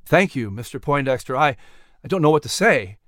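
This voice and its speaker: Guy playing a humble polite young fella in a story, earnest, a bit high, very dry sound. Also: expressive, normal speed, a bit bright, no room sound. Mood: grateful. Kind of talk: audiobook character dialogue.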